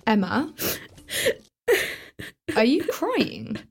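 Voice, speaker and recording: A woman's voice: fairly high pitch, huge pitch swings, extremely slow, gravelly, breathy edge, faint music behind, full character voice. A young woman playing a brash show-off teenage girl. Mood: teasing. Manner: sarcastic, teasing, attention-seeking. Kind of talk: radio play acting.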